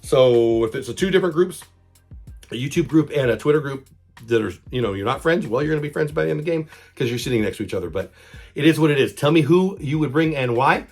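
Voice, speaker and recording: A man with a hoarse voice from a cold, hosting a casual sports fan vlog and talking chatty and repetitive. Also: mid-range voice, lively intonation, fast, a little mellow, faint music behind. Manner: chatty, repeats himself, fan talk. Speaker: channel host.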